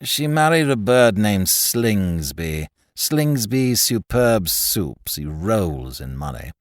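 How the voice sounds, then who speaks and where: A man voicing a languid, smug young man laid up in bed, who speaks in a quiet, slow, patronizing drawl. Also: lowish voice, big pitch swings, dry recording, clean studio sound, pitched below the performer's own voice.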